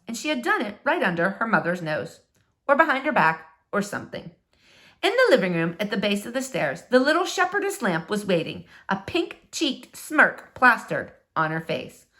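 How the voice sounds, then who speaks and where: A woman reading a children's novel aloud as expressive storybook narration. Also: mid-range voice.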